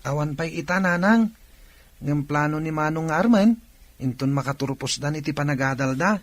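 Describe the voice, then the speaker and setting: Actor as a curious younger brother, higher voice. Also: male voice, normal speed, dry recording, close to an everyday voice. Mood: reassuring. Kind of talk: radio drama acting.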